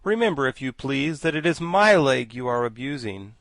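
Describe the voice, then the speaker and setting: A man's voice: medium pitch, animated, moderate pace, a bit bright, no echo, studio quality. A man voicing a prim, easily offended pumpkin-headed wooden man. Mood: indignant.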